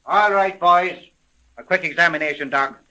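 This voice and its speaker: A bright, hard-edged male voice, a little high, for a hard-boiled police sergeant in a 1930s radio serial. He interrogates gruffly and slangily, bossy and full of suspicion. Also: moderate pace. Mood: suspicious, badgering.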